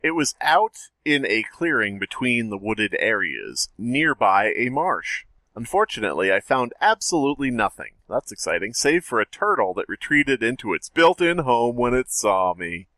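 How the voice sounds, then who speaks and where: A man's voice: medium pitch, very animated, normal speed, hardly any pauses, pushed and bright, no echo. A man joking wryly and casually as a podcast panelist. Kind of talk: casual commentary and reading aloud.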